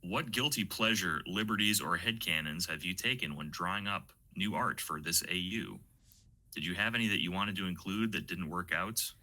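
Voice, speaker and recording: A man's voice: low-pitched, normal speed, pushed and bright, low-quality recording. A man hosting a casual interview, talking in an informal, conversational way.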